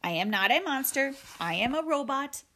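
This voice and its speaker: A woman's voice: high voice, sing-song, normal speed, pushed and bright, pitched above the performer's own voice, heavily acted. A woman voicing a friendly, earnest female robot with formal, courteous phrasing in a storybook.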